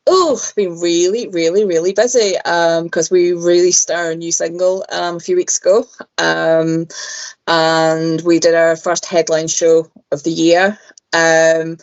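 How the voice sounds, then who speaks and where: A talkative Glaswegian woman with a slightly low voice. She chats freely as an interview guest and fills her pauses with lots of "um"s. Her audio comes through dull and lo-fi, like a remote call. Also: level tone. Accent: Glaswegian Scottish. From Scotland. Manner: chatty, frequent "um"s, laughs easily. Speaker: musician guest. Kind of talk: casual interview chat.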